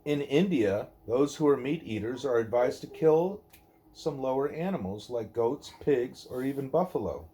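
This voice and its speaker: A man reading a devotional dialogue aloud in measured, deliberate phrases. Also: mid-range voice, lively intonation, mellow. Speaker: reader of a devotional book; parent reading aloud at a child's bedtime.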